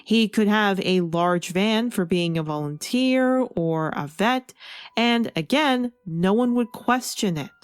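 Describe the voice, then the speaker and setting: A woman's voice: medium pitch, lively intonation, slow, clear, resonant tone, dry recording. A woman delivering scripted video essay commentary as a review host, explaining her opinions and stressing key words. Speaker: comic book review host.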